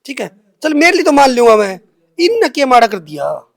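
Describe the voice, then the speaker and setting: A young man with a Haryanvi accent speaks in a strikingly high-pitched voice. He is giving an interview in his own defence, colloquial and emphatic, often repeating himself. The sound is close and dry, with very little room in it. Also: big pitch swings, a little fast, vocal fry, no echo. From Haryana, India. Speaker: interviewee answering accusations against him.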